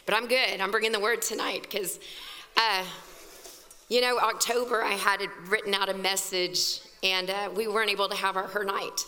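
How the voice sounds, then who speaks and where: Hoarse woman pastor, fervent conversational sermon, bright tone, reverberant church hall. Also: medium pitch, steady tone, normal speed. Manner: fervent, conversational preaching with exclamations. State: scratchy, hoarse voice. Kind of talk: sermon at a women's evening service. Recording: long reverb of a large hall.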